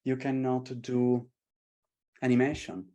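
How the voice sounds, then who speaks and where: A man's voice: medium pitch, takes it slowly. Passionate Italian-accented man. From Italy. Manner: passionate, animated storytelling. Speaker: artist and tarot creator. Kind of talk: casual conversation. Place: London.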